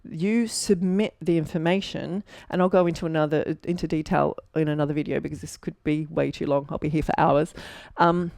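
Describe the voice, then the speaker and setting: An Australian woman in her forties tells her story to the camera in a loose, chatty way, full of fillers and restarts. Her voice sits a little low. The sound is close and dry, with no room echo. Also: fairly even intonation, a little fast, gravelly, few pauses, a little mellow. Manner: chatty, with fillers and self-corrections. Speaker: architect and mentor.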